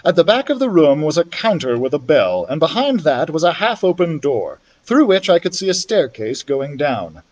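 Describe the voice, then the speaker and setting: A man's voice: fairly high pitch, big pitch swings, moderate pace, hardly any pauses, highs cut off. A man reading a children's story aloud expressively, as a library storyteller would.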